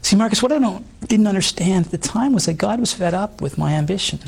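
Middle-aged man, badly husky and hoarse, earnest interview guest telling his story haltingly. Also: high-pitched, animated, a little mellow. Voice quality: hoarse voice from old vocal damage. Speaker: interview guest, former stage singer. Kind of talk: personal testimony.

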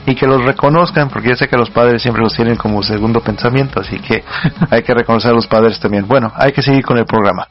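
A man's voice: mid-range voice, fast, lo-fi sound, over music. A male radio host chatting casually, rambling with many fillers and laughing often.

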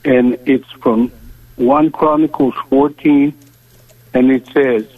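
Slow, devout man on a tinny phone line. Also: mid-range voice, fairly even intonation, no echo. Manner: slow, deliberate, devout, pauses often. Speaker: caller.

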